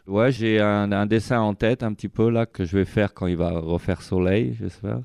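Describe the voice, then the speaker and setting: A man's voice: lowish voice, fairly even intonation, normal speed, vocal fry, a little mellow, clear, resonant tone. A man being interviewed as a street artist, talking casually and rambling with many fillers. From France.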